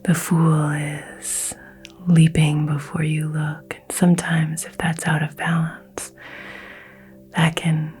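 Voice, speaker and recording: Southern-raised woman, gentle ASMR whisper, flat low delivery, faint music underneath. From American South. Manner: soft, slow, soothing whisper. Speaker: ASMR creator doing a crystal healing.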